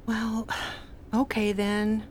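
An actress playing a young expectant wife, earnest and fretful with a whimsical streak, in a radio play. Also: female voice, a little high, monotone, very slow, a little mellow. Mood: matter-of-fact. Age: young adult. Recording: faint background noise.

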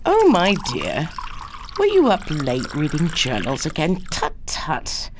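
Woman as a lively, creaky, fussing housekeeper. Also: low voice, takes it slowly, background noise, exaggerated voice. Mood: cheery, fussing. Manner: folksy, fussing, chatty. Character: chatty, motherly, gossipy housekeeper, folksy and fussing. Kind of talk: audio drama acting.

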